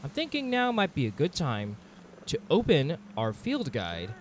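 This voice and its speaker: A man's voice: on the high side, wildly swooping pitch, unhurried, slight background noise. A man narrating a monster-hunting game in the first person, in a dramatic storytelling manner, as a scripted adventure. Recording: music behind the voice.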